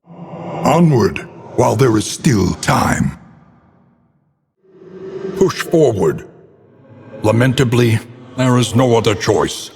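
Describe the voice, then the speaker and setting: A male actor playing a grave, foreboding narrator in a gothic horror game, speaking in an ornate, theatrical and deliberate manner. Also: medium pitch, animated, creaky, a little mellow, in a large hall. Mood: urging, grave.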